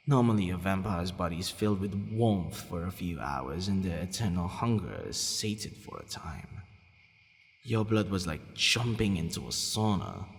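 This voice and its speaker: A man playing a centuries-old, courteous but possessive vampire in an ASMR roleplay, deliberate and hesitant, sometimes murmuring almost to a whisper. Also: sits low, vocal fry, exaggerated voice. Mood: grave, intimate. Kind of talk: ASMR roleplay addressed to the listener. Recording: long reverberant hall echo.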